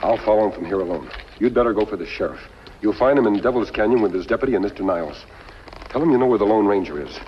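An actor playing a calm, authoritative masked cowboy lawman with deliberate speech in a 1950s western. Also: fairly low pitch, a little hiss or hum, lightly acted. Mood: calm, assured. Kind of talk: 1950s television western acting. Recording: dull old 1950s television soundtrack.

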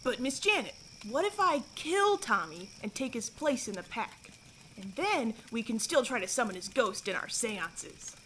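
A woman's voice: on the high side, very animated, normal speed, pushed and bright, slight background noise. A woman playing a bloodthirsty, confident young boy scout in an audio drama. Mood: scheming.

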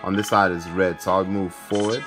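A man's voice: deep voice, level tone, a little fast. A man hosting a gaming video, commentating casually and thinking aloud in an informal way. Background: game music.

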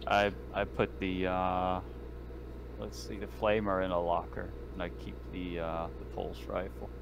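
A man chatting casually as a player in an online tabletop game, haltingly and repeating words as he goes. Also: sits low, flat delivery, vocal fry, noisy background. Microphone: online voice call.

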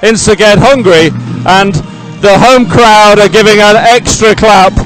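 A man's voice: high-pitched, measured pace, fairly bright tone, faint music behind, rough recording. A man giving live, ad-libbed sports commentary in a chatty, rambling, enthusiastic way.

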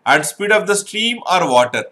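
A man's voice: high voice, very animated, normal speed, bright, forceful tone. A middle-aged man teaching an exam-prep math lesson online, explaining step by step and often checking "right?" with his students.

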